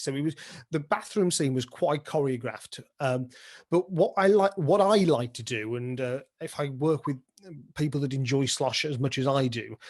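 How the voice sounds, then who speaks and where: A man's voice: mid-range voice, darkish tone. A British man speaking casually as an interviewed theatre performer and director, enthusiastic and full of illustrative examples. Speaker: pantomime dame and director being interviewed. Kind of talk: casual interview chat about stage craft.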